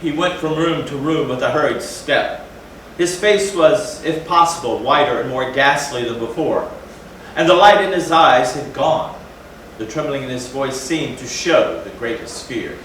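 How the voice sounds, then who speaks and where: A man's voice: mid-range voice, unhurried, a little hiss or hum, lightly acted. A man playing a grave, formal Gothic stage role, reciting dramatically in a theatrical style. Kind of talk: theatrical readers theatre performance. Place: theatre stage, recorded from a distance. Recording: echoey hall, distant mic, loud music.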